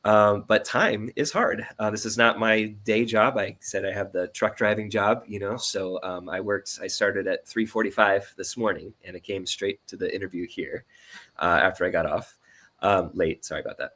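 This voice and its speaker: Male interview guest, 30s: crisp bright tone, lowish pitch, unpretentious and earnest delivery. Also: steady tone, normal speed. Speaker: audio drama producer and editor, interview guest. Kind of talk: casual interview chat.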